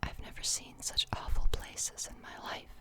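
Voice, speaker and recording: A woman's voice: normal speed, close to an everyday voice. A woman voicing a shy, nervous, self-doubting guest in a storybook reading, in a tentative whisper.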